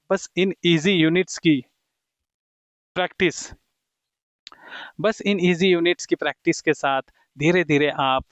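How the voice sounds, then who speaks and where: A man teaching English in Hindi who reads the English example sentences slowly and deliberately and keeps calling his viewers friends. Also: a little high, smooth, dry recording, muffled recording. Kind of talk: explaining a textbook lesson to viewers.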